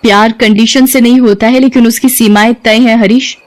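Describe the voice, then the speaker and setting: A woman's voice: a little high, steady tone, normal speed, lightly acted. A woman voicing a principled female tutor in an audio story, firm and admonishing in manner. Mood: reproachful, firm. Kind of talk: dramatized audio story acting.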